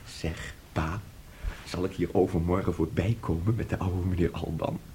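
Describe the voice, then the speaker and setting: An actor playing a naive, eager, childlike son who speaks simply and trustingly in a radio play. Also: male voice, low-pitched, steady tone, normal speed, darkish tone, slight background noise.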